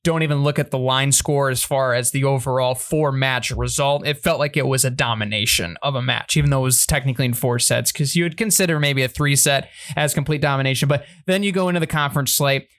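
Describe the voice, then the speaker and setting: A young man hosting a sports talk show on the radio, chatting in an upbeat, energetic way. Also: mid-range voice, fast, few pauses.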